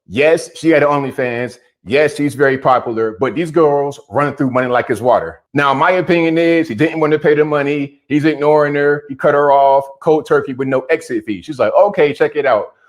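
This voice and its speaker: A man's voice: fairly high pitch, moderate pace, hardly any pauses. A man giving opinionated commentary on a news story, talking bluntly and directly. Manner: direct, addresses subjects bluntly.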